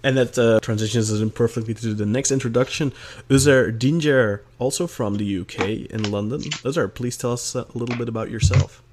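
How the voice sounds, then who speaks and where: This is a man of thirty from Belgium, hosting a casual podcast chat. His voice sits in an ordinary middle register, and he talks in an upbeat, quick way, laughing now and then between remarks. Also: medium pitch, darkish tone, a little hiss or hum. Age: 30s. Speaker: podcast host.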